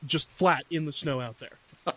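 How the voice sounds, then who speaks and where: A man with a slightly high voice talks casually at a game table. He improvises narration and talks through his rolls as a player. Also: takes it slowly, bright, forceful tone.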